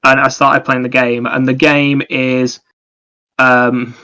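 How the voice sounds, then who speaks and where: Man with a bright, even-pitched voice. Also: slow pace, smooth. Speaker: podcast co-host. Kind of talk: casual gaming discussion.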